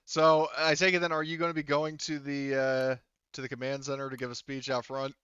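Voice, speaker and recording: A man's voice: medium pitch, flat delivery, brisk, fairly bright tone, smooth, no echo, dull audio. A man acting as game master, talking casually and thinking aloud through the rules of a tabletop roleplaying game.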